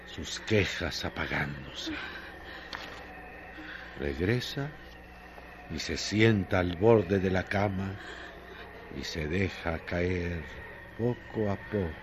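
A man narrating a dark radio drama in a solemn, measured, literary way with long pauses. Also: deep voice, noisy background.

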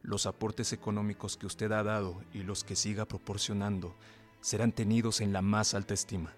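An actor playing a steady, courteous young gentleman conspirator in a radio drama, speaking formally and reassuringly. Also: fairly low pitch, moderate pace, soft background music, close to an everyday voice.

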